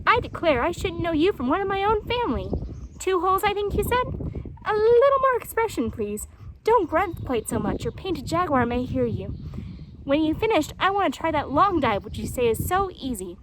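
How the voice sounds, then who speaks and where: Woman doing a perky, high-pitched male hedgehog. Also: big pitch swings, moderate pace, noisy background. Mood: encouraging, fussy. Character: perky, chatty male hedgehog, eager and self-satisfied.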